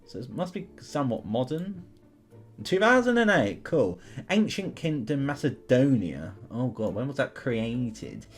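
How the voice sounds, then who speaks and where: A man hosts a casual gaming video with a lively, swinging pitch and an unhurried pace. He reasons out loud, often asking himself questions, and makes chatty asides to the people watching. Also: soft background music. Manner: thinks aloud in questions, chatty asides to viewers. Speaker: YouTube gamer host. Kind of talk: casual commentary while playing an online history quiz game.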